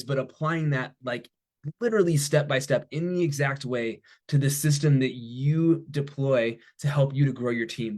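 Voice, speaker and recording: A man with a medium-pitched voice talks informally as a guest executive on a promotional call. He sounds enthusiastic and emphatic, keeping a conversational flow as he pitches his idea. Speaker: head of business development, guest speaker.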